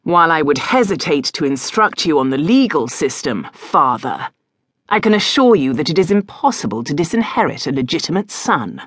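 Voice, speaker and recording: A woman's voice: deep voice, very animated, moderate pace, slightly rough, no room sound, clean studio sound, full character voice. A woman voicing a proud young nobleman who speaks in a clipped, controlled way. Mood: cold. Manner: clipped and controlled, through clenched teeth.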